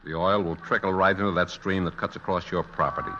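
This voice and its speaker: An actor playing a cool, businesslike swindler who talks in terse, offhand phrases in a vintage radio play. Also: male voice, low-pitched, little pitch movement, fast talker, lightly acted. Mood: coolly confident. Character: laconic, cool male swindler, confident and businesslike. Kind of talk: vintage radio drama acting. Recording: dull vintage radio recording.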